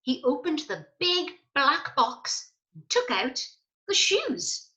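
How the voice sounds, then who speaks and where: A woman with a high, bright voice reads a children's story at an unhurried pace. She is a teacher talking to young children, animated and full of chatty asides and questions. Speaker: primary school teacher reading a story aloud.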